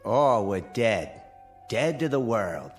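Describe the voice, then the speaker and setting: An actor playing an easygoing, folksy drifter in a radio drama, with slangy, loose-grammared talk. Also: male voice, lowish voice, sing-song, slow, soft background music. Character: easygoing, folksy, unschooled drifter type, amused and accepting.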